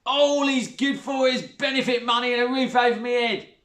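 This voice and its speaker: Shrill brassy stepmom done by a guy, loud and coarse, way up high, roomy echo. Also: steady tone, distant mic. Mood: furious. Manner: shrill, brash, drawn-out oohs. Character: loud coarse spiteful middle-aged stepmother.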